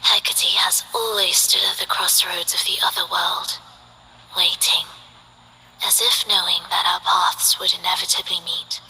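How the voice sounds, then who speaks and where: A woman playing a soft-spoken, detached and tragic guardian of the underworld in a video game, with a breathy, measured delivery. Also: on the low side, fairly even intonation, whispered, exaggerated voice, poor audio. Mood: solemn, mystical. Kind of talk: scripted video game character acting.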